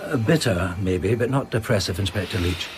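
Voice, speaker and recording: An actor playing an observant, doubting amateur sleuth in a radio play, reasoning in a measured way. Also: male voice, lowish voice, animated, background noise, natural voice. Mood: measured, sceptical. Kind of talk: dramatic radio play acting.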